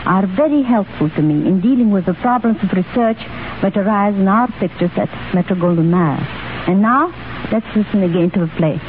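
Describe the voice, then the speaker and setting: A woman's voice: mid-range voice, fairly quick. A middle-aged woman giving a scripted radio interview in a formal, carefully worded storytelling manner, 1930s style. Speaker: guest recounting experiences. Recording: noisy, narrow-band 1930s radio broadcast.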